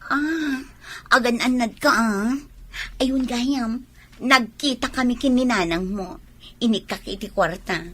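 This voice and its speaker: A woman's voice: on the high side, a little slow, breathy edge. A woman playing a wheedling, flirtatious mistress with an Ilocano Filipino accent in a radio drama. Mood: sweet, pleased. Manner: coaxing, flirtatious. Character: sweet-talking, wheedling mistress of a soldier.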